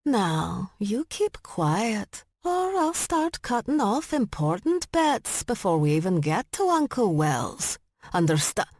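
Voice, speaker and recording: A woman's voice: medium pitch, big pitch swings, slow pace, darkish tone, no room sound, clean studio sound, voice pushed up, exaggerated voice. A woman voicing a gloating, threatening Scottish kidnapper in an audiobook story. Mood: cold threat. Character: menacing Scottish woman, a gloating kidnapper tormenting her uncle.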